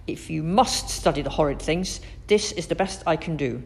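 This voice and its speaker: A woman's voice: deep voice, lively intonation, normal speed, creaky, long reverb tail. A woman voicing a mean, grumpy male librarian in a children's story, snapping and sniffing rudely. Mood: snappish.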